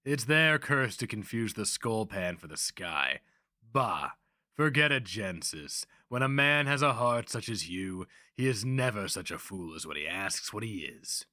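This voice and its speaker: A man's voice: lowish voice, sing-song, a little slow, bright, forceful tone, no room sound, studio quality, full character voice. A man voicing a dismissive old slaver who lectures with spitting scorn in a fantasy story. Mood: scornful.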